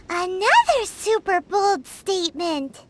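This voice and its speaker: Tiny excitable fairy sidekick for a video game: childlike squeak, very animated, unhurried delivery. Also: female voice, a little hiss or hum, full character voice. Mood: unimpressed. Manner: squeaky, exclaiming, speaks of herself in third person. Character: tiny excitable childlike female fairy companion, cheerful and greedy.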